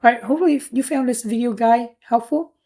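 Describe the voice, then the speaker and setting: A woman's voice: fairly high pitch, fast talker, dark tone. A woman giving a casual hands-on product review, explaining things in an informal, plain-spoken way.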